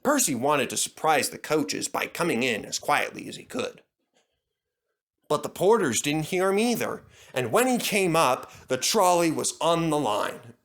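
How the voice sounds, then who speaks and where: Animated male children's storyteller. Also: on the high side, a little slow. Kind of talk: scripted children's story narration.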